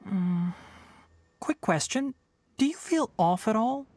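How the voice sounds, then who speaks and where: Measured, earnest young forest ranger. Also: male voice, sits high, lively intonation, darkish tone, exaggerated voice. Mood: calm, instructing. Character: thoughtful, principled young forest ranger and former scholar. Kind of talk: video game dialogue acting.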